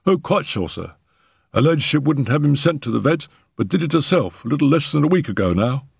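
A man's voice: fairly high pitch, very animated, fairly quick, creaky, breathy edge, no echo, studio quality, voice pushed up, full character voice. A man voicing a chatty, deferential footman in an audiobook, matter-of-fact and sure of himself. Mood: deferential, certain. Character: deferential male servant, a footman, chatty and certain. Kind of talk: audiobook character acting.

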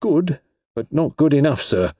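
A man voicing a polite, shrewd police inspector in an audiobook, genial and quietly amused, with a deferential, matey manner. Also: on the high side, big pitch swings, measured pace, breathy edge, no echo, clean studio sound, voice pushed up, exaggerated voice. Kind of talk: audiobook character voice.

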